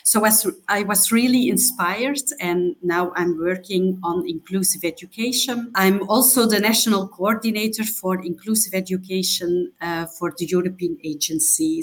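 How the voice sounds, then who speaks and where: Researcher woman, halting speech. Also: lowish voice. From Belgium. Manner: halting, with frequent fillers. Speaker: guest researcher. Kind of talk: panel discussion answer.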